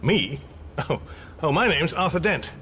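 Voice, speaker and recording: A man's voice: a little high, sing-song, unhurried, bright, forceful tone, slight background noise, heavily acted. An actor playing a polite, bemused Englishman, hesitant and mildly exasperated, in a radio comedy drama. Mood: puzzled.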